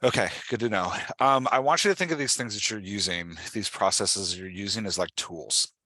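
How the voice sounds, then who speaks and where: A man's voice: on the low side, fairly quick, pushed and bright, dry recording. A man hosting a conversational coaching interview, explaining with examples and often checking that he is understood. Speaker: podcast host and writing coach.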